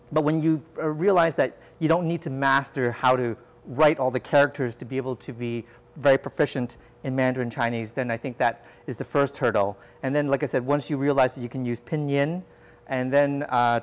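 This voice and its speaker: A man whose first language is Cantonese, answering interview questions in a measured, explanatory way with frequent fillers. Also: mid-range voice. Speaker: interview guest, economist.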